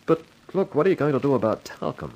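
An actor playing an excitable, wisecracking employee in a 1950s radio detective drama, blustering and easily cowed. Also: male voice, mid-range voice, fast, highs cut off. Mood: eager, casual. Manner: indignant bluster sliding into stammering deference.

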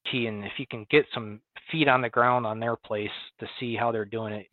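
Western New York farmer on a tinny line. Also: male voice, mid-range voice, fairly quick, no echo. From Western New York, near Buffalo. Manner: relaxed storytelling with many "um"s and restarts. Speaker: guest, cattle farmer. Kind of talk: conversational interview. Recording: tinny phone-like line, dry.

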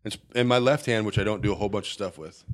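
A man telling a rambling, animated story in casual chat, with a somewhat nasal voice. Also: on the low side, rapid-fire.

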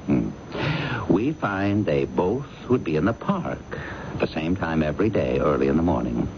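A shrewd police inspector from an old radio mystery. His low, gravelly voice moves expressively as he probes and insinuates with patient, dry needling. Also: sing-song, normal speed, heavily acted. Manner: probing, dryly insinuating. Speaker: radio drama actor. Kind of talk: 1970s radio drama acting. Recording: muffled, noisy old radio broadcast recording.

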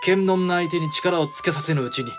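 A man's voice: fairly high pitch, a little fast, over music, lightly acted. A man playing a dignified, frank samurai clan head and protective elder brother in a Japanese period audio drama, with stately archaic speech. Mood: frank, wry.

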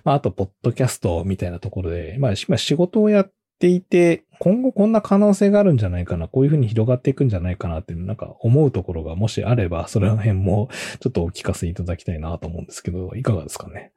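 A man's voice: on the low side, big pitch swings, rapid-fire, few pauses, mellow, husky. A man hosting a podcast interview in a polite, conversational way, often murmuring agreement. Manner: polite, frequent agreeing backchannels. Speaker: podcast host, company co-founder.

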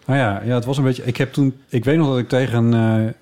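A man hosting a podcast, chatting casually in halting, restarted phrases. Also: mid-range voice, steady tone, dark tone. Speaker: podcast host. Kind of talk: casual chat.

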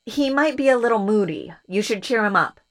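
A woman's voice: a little high, animated. An actress playing a decisive, scheming woman who leads a small crew in an audio drama. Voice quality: brisk and decisive, dry. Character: scheming, commanding woman leader.

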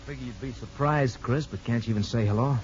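An actor playing a menacing criminal ex-lover in a 1950s radio drama, slangy, needling and bullying. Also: male voice, mid-range voice, quick pace, a little mellow, lightly acted. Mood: sneering. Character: menacing, sneering criminal ex-lover, blackmailing tough guy. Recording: dull, hissy 1950s radio recording.

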